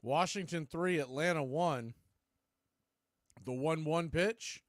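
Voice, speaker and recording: A man does live baseball play-by-play. His voice sits a little high for a man and comes through close and dry. He delivers the action in short, clipped phrases. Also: takes it slowly, no room sound. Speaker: play-by-play baseball announcer and stream host. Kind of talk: live radio-style play-by-play commentary.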